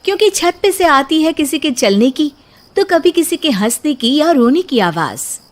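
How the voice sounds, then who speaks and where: A woman's voice: high-pitched, sing-song, moderate pace. A woman narrating a scripted comic bedtime story.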